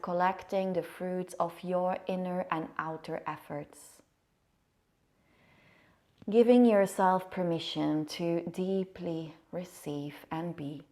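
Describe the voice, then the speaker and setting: Very slow, hushed woman guiding meditation. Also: lowish voice. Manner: soothing, hushed, unhurried. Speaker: yoga nidra teacher. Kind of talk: guided meditation, chanted singing then soft spoken guidance. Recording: music behind the voice. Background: meditative music.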